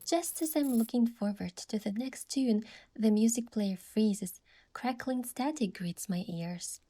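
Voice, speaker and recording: A woman playing a gentle, thoughtful young heroine, narrating her game story softly and in measured scripted first person. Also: a little high, faint music behind. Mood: reflective.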